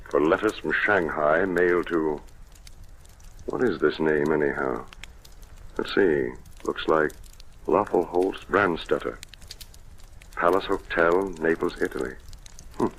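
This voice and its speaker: An actor playing a stern, exasperated chief of detectives, brusque and sarcastic, in 1940s radio drama style. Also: male voice, low voice, slow, pauses often, fairly bright tone, slightly rough, full character voice. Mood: businesslike. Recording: noisy old radio recording.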